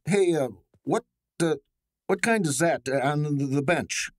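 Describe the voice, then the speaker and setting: A man's voice: fairly high pitch, unhurried, no echo, studio quality, lightly acted. A man playing a shifty small-time forger in a radio drama, stammering and evasive. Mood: awed, flustered. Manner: stammering, nervous, slangy.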